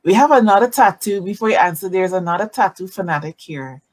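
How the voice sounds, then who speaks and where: Animated woman hosting a live stream. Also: medium pitch, brisk. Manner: animated, greets viewers by name. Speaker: talk show host. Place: home room, indoors. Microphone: video call webcam.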